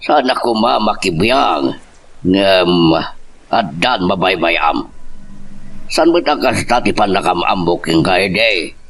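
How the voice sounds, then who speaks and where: A man's voice: deep voice, lively intonation, slow, a bit bright, heavily acted. A man playing a worried, protective older father in an audio drama, speaking in grave reproach. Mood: stern reproach. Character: protective, worried older father confronting his son-in-law. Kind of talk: Ilocano audio drama acting.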